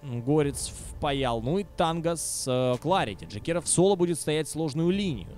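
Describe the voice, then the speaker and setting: A man's voice: a little high, animated, moderate pace, darkish tone. A man commentating a video game match live, with casual, sardonic asides to viewers. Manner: wry, sardonic. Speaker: esports commentator.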